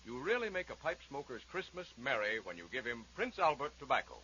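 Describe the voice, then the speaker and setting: A man's voice: medium pitch, big pitch swings, quick pace, sharp tone. A man reading scripted advertising copy in a 1940s radio style. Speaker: advertising announcer. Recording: muffled, faintly noisy 1940s radio.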